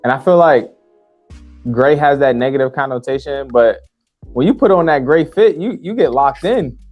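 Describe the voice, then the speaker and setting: Young male host, easygoing and friendly, mid-pitched, soft music underneath. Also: moderate pace, dull audio. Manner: friendly, slangy, calls guest bro. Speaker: interview host. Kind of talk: casual interview chat.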